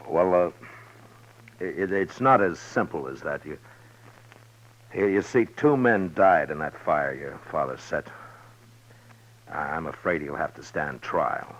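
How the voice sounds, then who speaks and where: An American man acting a gruff but humane, plain-spoken frontier marshal in a 1950s radio western. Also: rumbling low, a little slow, pauses often. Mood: earnest, gentle. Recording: dull vintage 1950s radio recording.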